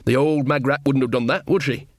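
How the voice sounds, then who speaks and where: A man's voice: medium pitch, steady tone, a little fast, no echo, clean studio sound, close to an everyday voice. A man voicing an old country witch woman in an audiobook, folksy, blunt, motherly and bossy. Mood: soothing.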